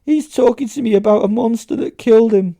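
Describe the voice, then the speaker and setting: A stage psychic in a horror audio drama, haunted and scared out of his wits. The voice is pushed high and very loud, breaking into tearful, stammered repeats. It sounds close and dry. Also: brisk, creaky, no echo. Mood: terrified. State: crying, distressed.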